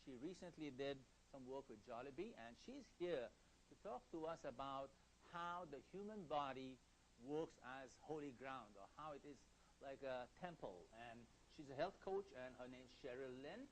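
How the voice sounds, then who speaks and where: A male talk show host with a medium-pitched voice conducts an interview. He probes with questions, circling back and rewording them. A faint hiss sits behind his voice. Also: lively intonation, normal speed, rough recording. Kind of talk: interview hosting.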